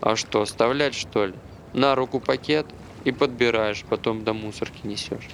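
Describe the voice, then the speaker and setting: A man's voice: medium pitch, fairly even intonation, normal speed, gravelly, fairly bright tone, a little hiss or hum. An actor playing a bitter, aggrieved man who hates dogs, grumbling conversationally in a naturalistic stage play.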